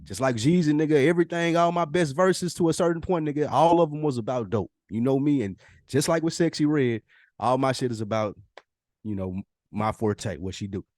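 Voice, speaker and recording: Male podcast co-host, medium-pitched, dry close sound, opinionated and lively. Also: brisk, no room sound. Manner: animated, opinionated, slips into quoted voices. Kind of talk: casual chat.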